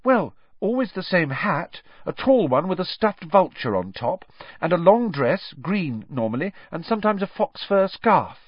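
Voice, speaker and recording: A man's voice: high voice, big pitch swings, normal speed, slightly rough, dry recording, dull audio, voice pushed up, full character voice. A man voicing a timid, nervous schoolboy in an audiobook, speaking hesitantly and uncertainly. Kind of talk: audiobook character reading.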